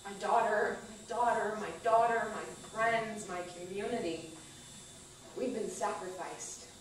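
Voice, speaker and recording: A woman performing a dramatic, emphatic spoken-word monologue on a small stage. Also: medium pitch. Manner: deliberate, emphatic repetition. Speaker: performer at a live stage reading. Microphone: distant camera microphone. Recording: echoey theater, far-off camera, faint noise.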